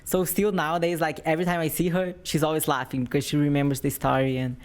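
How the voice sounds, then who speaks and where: Low-voiced Brazilian-accented young woman. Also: little pitch movement, fast. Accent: Brazilian Portuguese. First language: Portuguese. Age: 20s. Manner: giggly and friendly, searching for words. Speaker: guest athlete. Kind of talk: casual interview.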